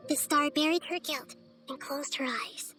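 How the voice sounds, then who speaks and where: A high, girlish voice of a small god of wisdom, sits over clear background music. She is gentle and thoughtful, choosing words with care. The delivery is measured, articulate video game acting. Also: fairly even intonation. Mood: calm, reflective. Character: wise, gentle little-girl god of wisdom.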